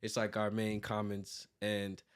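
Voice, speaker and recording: A man's voice: lowish voice, monotone, measured pace, clean tone. An American man in his thirties talking casually as an interview guest, rambling with lots of "like" and self-corrections. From United States, born in New York and raised in Virginia. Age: 30s. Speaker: interview guest, graphic designer and business owner.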